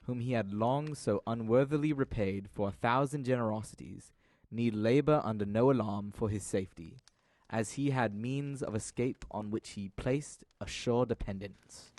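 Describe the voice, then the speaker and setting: A man's voice: medium pitch, moderate pace, darkish tone, no echo. A man narrating an audio drama as a scripted story, with a formal, literary delivery.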